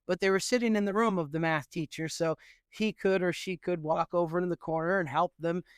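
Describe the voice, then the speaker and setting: A middle-aged man from Newfoundland, Canada, answering interview questions as an education professor in an earnest, animated way with frequent fillers. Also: sits high, a little fast, clear, resonant tone, no echo, clean studio sound.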